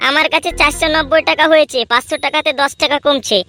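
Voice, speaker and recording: A woman voicing an excitable, blunt young villager in a comedy cartoon. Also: high-pitched, fast, soft background music. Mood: fretful, surprised.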